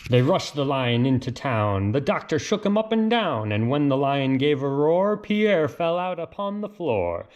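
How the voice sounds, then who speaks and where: A man reading a children's picture book aloud in an expressive, sing-song, theatrical way. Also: on the high side, unhurried, hardly any pauses. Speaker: read-aloud storyteller.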